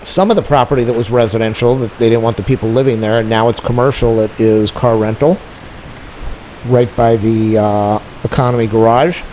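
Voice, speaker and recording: A man's voice: medium pitch, fairly quick, darkish tone, low-quality recording. Man narrating casually over faint outdoor noise. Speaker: plane-spotting vlogger.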